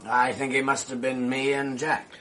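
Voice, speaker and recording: A man's voice: medium pitch, little pitch movement, moderate pace, bright, forceful tone, lightly acted. A man playing a flippant film actor in a radio drama, with an arch, teasing way of talking.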